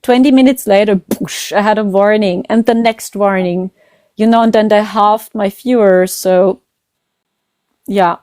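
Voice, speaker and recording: German-accented woman, smooth dry voice. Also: mid-range voice, fairly even intonation, a little slow, darkish tone, dry recording. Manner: rambling, repeats herself, frequent "you know". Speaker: psychic medium host. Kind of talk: casual conversation.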